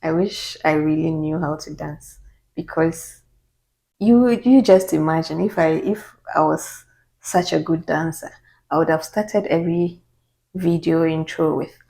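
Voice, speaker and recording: Low-voiced Ghanaian woman vlogging. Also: measured pace, vocal fry, dark tone. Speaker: YouTuber. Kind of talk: casual get-to-know-me Q&A.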